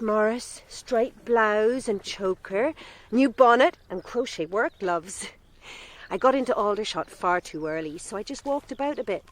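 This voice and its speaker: An actress playing a chatty, scatterbrained middle-aged country mother in a radio drama, fussing and gushing in a bossy, motherly way. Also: fairly high pitch.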